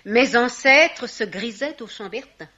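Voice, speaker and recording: An actress playing a haughty, penniless baroness in a radio mystery, mannered, formally polite and disdainful. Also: female voice, on the high side, measured pace, pushed and bright, muffled recording. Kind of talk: French radio mystery play acting.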